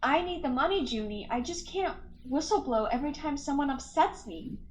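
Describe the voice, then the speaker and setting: A young actress as a protective but jaded American woman scientist in a radio play. Her voice sits high. There is faint noise on the recording. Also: lively intonation, moderate pace. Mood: weary, cautious. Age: young adult.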